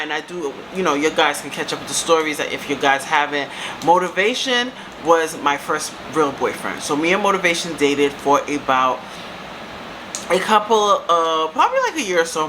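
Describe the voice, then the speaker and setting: A woman in her thirties with a deep voice, telling a casual storytime to her viewers and calling them baby and girl. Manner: chatty, calls viewers baby and girl, lively asides. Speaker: storytime vlogger. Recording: steady air-conditioner hum behind.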